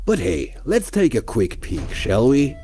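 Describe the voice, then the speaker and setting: A man's voice: mid-range voice, big pitch swings, unhurried, warm tone. A man presenting a game showcase in a conversational, scripted promotional style, explaining things directly to viewers. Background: background music.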